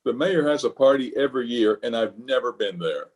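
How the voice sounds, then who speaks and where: An actor as a pompous middle-aged boss in an old-time radio comedy. He blusters and stammers, hedging his way around things in a man's mid-pitched voice. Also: expressive, a little fast, darkish tone, natural voice. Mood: evasive, flustered. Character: flustered, evasive, pompous middle-aged male water department boss.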